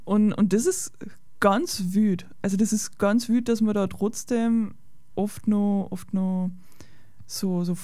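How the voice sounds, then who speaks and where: Warm-toned Austrian woman, conversational. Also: mid-range voice, steady tone, slow pace, dry recording, studio quality. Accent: Austrian German. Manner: conversational, agreeable, repeats words as she searches. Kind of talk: casual conversation.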